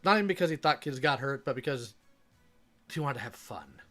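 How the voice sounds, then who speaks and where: A man's voice: medium pitch, animated, fairly quick, pushed and bright, soft background music. A man hosting mocking reaction commentary, speaking in a sarcastic, scornful way. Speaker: commentary host.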